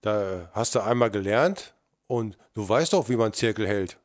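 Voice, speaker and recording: A man's voice: fairly low pitch, expressive, moderate pace, no room sound, studio quality. An older man in casual chat, agreeing readily and repeating short affirmations. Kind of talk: casual chat between two older workers.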